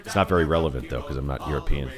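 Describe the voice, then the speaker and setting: A man's voice: extremely low pitch, very fast, a crowd in the background. A man from New York hosting a live music stream, chatting casually and rambling with lots of "uh" and restarts. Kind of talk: casual chat reading viewer comments. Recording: live stream with loud music playing behind. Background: loud recorded Irish music.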